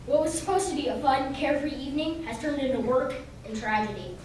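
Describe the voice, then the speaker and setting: An earnest radio-play police detective played by a woman, pitched high for the part. The voice rings in a very echoey hall, some distance from the mic. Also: fast talker, darkish tone, a little hiss or hum, close to an everyday voice. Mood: businesslike. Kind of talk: old-time radio drama acting.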